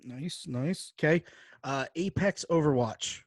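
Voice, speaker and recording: A man's voice: a little high, unhurried, no room sound, studio quality. A man hosting a podcast in casual chat, bantering informally with plenty of slang. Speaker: podcast host.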